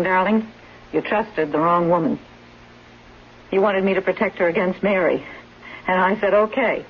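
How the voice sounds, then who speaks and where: An actress playing a cool, lovelorn caregiver, speaking in a measured, deliberate way in a 1970s radio drama. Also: female voice, lowish voice, lightly acted. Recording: dull 1970s radio recording, faint noise.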